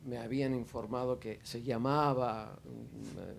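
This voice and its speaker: A man's voice: mid-range voice, fairly even intonation, normal speed, vocal fry, slight background noise. A man speaking off the cuff at a panel discussion, hesitant, with many fillers and restarts. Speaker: audience member at a panel. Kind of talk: spontaneous spoken remarks at a panel discussion.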